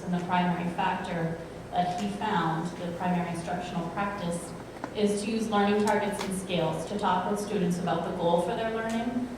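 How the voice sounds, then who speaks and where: A woman school principal giving a prepared, measured presentation at a school board meeting. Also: lowish voice. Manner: measured, explanatory. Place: school auditorium. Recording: echoey hall from far off, noisy.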